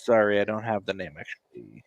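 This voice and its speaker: A man's voice: on the low side, animated, fairly quick, no echo, muffled recording. A man, co-hosting a casual music reaction show, joking and sarcastic as he rambles. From United States.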